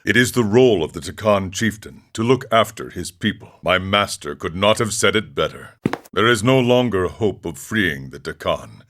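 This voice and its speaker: An actor playing a serene, eccentric ascetic monk in a video game, speaking in a measured, philosophical way with formal phrasing. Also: male voice, sits low, lively intonation, a bit bright, a little hiss or hum. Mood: solemn, resolved. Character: serene, eccentric ascetic drug-taking monk who relishes suffering. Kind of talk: scripted game character dialogue.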